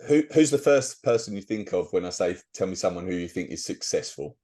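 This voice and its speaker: Male podcast host, slightly low voice, brisk friendly interviewer. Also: lively intonation, dark tone. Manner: brisk, friendly wrap-ups with repeated "all right". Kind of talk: interview hosting.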